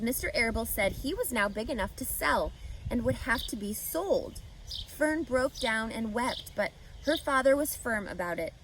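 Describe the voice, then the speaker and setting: A woman reading a children's storybook aloud with expressive, deliberate phrasing that stretches and stresses key words. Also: high voice, slight background noise, poor audio. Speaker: storybook reader.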